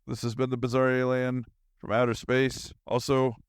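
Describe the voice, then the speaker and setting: A man's voice: medium pitch, completely flat delivery, moderate pace, clear, resonant tone, no room sound, clean studio sound. A man hosting a solo podcast in a casual, rambling monologue, with a goofy manner and silly asides.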